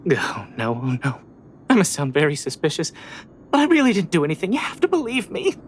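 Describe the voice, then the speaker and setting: A man's voice: fairly high pitch, wildly swooping pitch, a little fast, slight background noise. A man voicing a timid, anxious suspect in a video game, hesitant, fretful and apologetic. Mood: anxious, pleading. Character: timid, anxious, flustered suspect pleading innocence.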